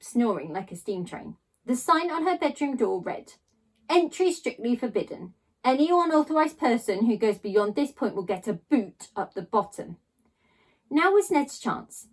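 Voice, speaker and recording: A woman reading a children's story aloud in a theatrical way, with dramatic pauses and drawn-out emphasis on key words. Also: a little high, sing-song, slightly rough, low-quality recording. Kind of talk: expressive children's storybook narration.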